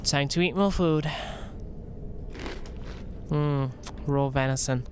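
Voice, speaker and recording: A man's voice: on the high side, takes it slowly, slight background noise. A young man from Wales with a light, toned-down Welsh accent, chatting casually with viewers while playing a game, rambling and restarting his sentences. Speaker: gaming streamer. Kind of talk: casual gameplay chat.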